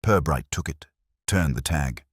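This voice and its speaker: A man's voice: extremely low pitch, animated, measured pace, slightly rough, no echo, clean studio sound. A man reading scripted literary mystery narration as a storyteller. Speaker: audiobook narrator.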